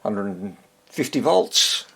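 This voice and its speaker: A man giving casual, unscripted hands-on repair commentary, thinking aloud in short fragments with a husky, gravelly voice. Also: on the low side, sing-song, slow pace, fairly bright tone. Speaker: hobbyist radio repairer demonstrating on video.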